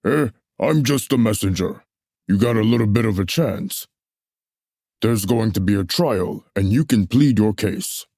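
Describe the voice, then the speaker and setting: A man as a slow, officious book collector. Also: on the low side, animated, warm tone, no echo, clean studio sound. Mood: matter-of-fact. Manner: deliberate, dismissive, matter-of-fact. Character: unbothered, officious male messenger collecting banned books. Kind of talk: musical puppet show stage acting.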